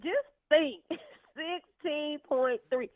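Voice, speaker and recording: A woman's voice: high voice, drawn-out words, dry recording. A woman co-hosting a casual college chat, heard over a thin, phone-like line. The sound is very dry and close. She is enthusiastic and affirming as she moves the show from topic to topic. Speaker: podcast co-host.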